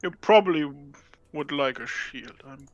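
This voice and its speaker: A man's voice: a little high, animated, unhurried, dry recording, highs cut off. A man playing alongside the host, casually giving advice about ships and gear. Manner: helpful, gives game advice. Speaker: co-player. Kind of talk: casual game chat.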